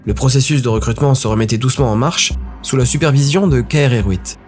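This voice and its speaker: A male narrator with a medium-pitched voice tells a scripted tale with brooding suspense. His phrases are short and dramatic, and music plays behind him. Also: quick pace, a little mellow.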